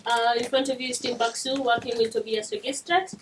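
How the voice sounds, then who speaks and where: A young woman from Kenya, explaining a student project to an audience in a steady way. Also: fairly high pitch, fairly quick, a little hiss or hum. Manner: explanatory, steady, uses "basically" as a filler. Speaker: student presenter. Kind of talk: presentation. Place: lecture room. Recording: roomy echo, distant mic.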